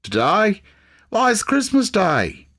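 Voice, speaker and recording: A man's voice: sits high, wildly swooping pitch, slow pace, fairly bright tone, voice pushed up, full character voice. A man voicing a cheeky young street boy in a Victorian storybook reading. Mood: cheeky, surprised. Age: child.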